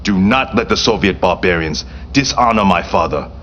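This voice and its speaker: A man's voice: sits low, animated, normal speed, a bit bright, highs cut off, a little hiss or hum. A man acting a proud, vengeful Japanese prince in a game cutscene, speaking formally and solemnly with grandiose command. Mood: indignant, resolute.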